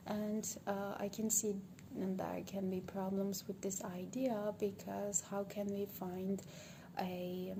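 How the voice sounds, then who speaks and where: A woman from Turkey with a Turkish accent, a native Turkish speaker, giving an unscripted monologue for social media and thinking aloud, hesitant, with many pauses. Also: mid-range voice, little pitch movement. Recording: background ambient noise.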